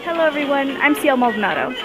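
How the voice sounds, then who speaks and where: A woman's voice: high-pitched, expressive, fast, over loud music, poor audio. A teenage young woman, a student presenter, reading a scripted fundraising announcement.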